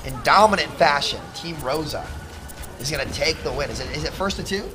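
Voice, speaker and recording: A young man casting a live esports match. His voice is going hoarse, and he gets excited as the action builds. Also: fairly high pitch, fairly quick, over music. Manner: excitable play-by-play, rising with the action. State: losing his voice. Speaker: esports caster. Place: college esports event. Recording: live event crowd behind.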